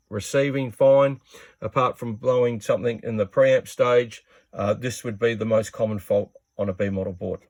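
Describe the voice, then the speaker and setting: A man's voice: medium pitch, darkish tone, no echo. A man giving a casual hands-on repair tutorial in a plain-spoken, matter-of-fact way.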